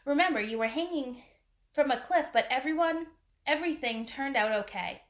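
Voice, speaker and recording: A woman's voice: high voice, lively intonation, moderate pace. A woman voicing a confident, adventurous young girl in a children's storybook, insistent, keen and matter-of-fact.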